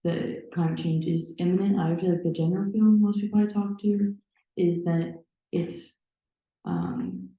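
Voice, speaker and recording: A young woman from Nebraska speaks on a youth panel, thoughtful and full of fillers, with a dry sarcastic streak. Her voice sits quite low, and the recording is dull and drenched in room echo. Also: animated, normal speed. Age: young adult. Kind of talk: panel discussion. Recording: echoey room, dull sound.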